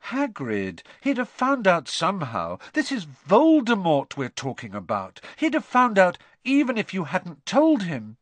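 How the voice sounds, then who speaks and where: A man's voice: high voice, huge pitch swings, measured pace, hardly any pauses, fairly bright tone, no echo, voice pushed up, exaggerated voice. A man voicing a plucky, caring young boy in an audiobook, speaking in an earnest, direct manner. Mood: reassuring.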